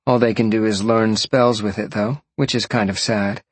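A man's voice: lowish voice, fairly even intonation, moderate pace, slightly rough, no echo, voice pushed up. A man voicing a playful, teasing young sorceress who talks in a breezy, confiding way for an audiobook. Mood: casual, proud.

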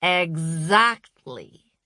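A woman voicing a patronizing, serenely fanatical Russian female wellness guru in an audiobook, speaking with deliberate patience. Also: low voice, expressive, pushed and bright, no room sound, studio quality, full character voice. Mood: pleased, smug. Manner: slow, deliberate, patient condescension.

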